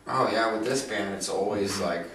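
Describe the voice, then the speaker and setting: Brief: man in his thirties, relaxed musician guest, lowish voice, halting and trailing off. Echoey room, mic kept at a distance, noise underneath. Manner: laid-back, halting, trails off. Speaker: band member guest. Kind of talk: casual interview chat.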